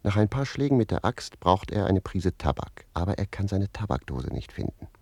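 A talkative servant with a deep, warm voice in a radio drama. He tells tales with theatrical relish and wry wit, recorded dry and close. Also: sing-song, moderate pace, creaky, breathy edge, no echo, heavily acted. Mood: lively narrating. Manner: savouring, theatrical storyteller. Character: wry talkative manservant recounting his amorous youth to his master. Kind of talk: German radio play dialogue and storytelling.

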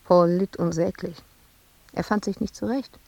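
A melancholy woman sculptor in a radio play, lost in memory. Her voice is dark and husky, close and dry, and she speaks in hushed, halting phrases with pauses. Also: lowish voice, steady tone, no echo. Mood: brooding, bitter. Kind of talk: radio drama monologue.

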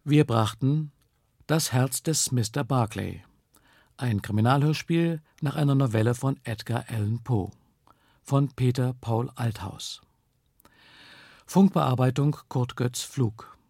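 A man announcing credits on 1950s radio in a formal, measured manner. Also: mid-range voice, creaky, a little mellow, no echo, clean studio sound.

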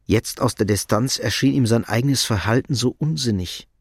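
A man narrating a radio drama in a measured, understated, literary style. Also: mid-range voice, husky, dry recording, studio quality.

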